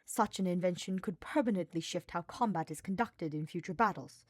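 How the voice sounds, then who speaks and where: A woman's voice: lowish voice, fairly quick, no room sound, close to an everyday voice. A woman voicing a dour male mage who speaks in a dry, formal, sarcastic way, in a fantasy story. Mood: curt, insistent.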